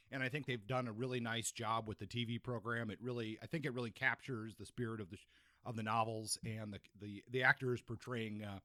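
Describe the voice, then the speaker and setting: Male podcast co-host, mid-pitched and bright, dry recording, informal book discussion. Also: no room sound.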